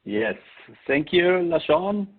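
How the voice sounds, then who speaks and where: Middle-aged Austrian presenter, husky voice, halting delivery with restarts, thin phone-like sound. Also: male voice, a little high, big pitch swings. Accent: Austrian German. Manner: halting, searching for words, frequent restarts. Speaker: webinar presenter. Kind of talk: unscripted webinar talk. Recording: tinny phone-like line.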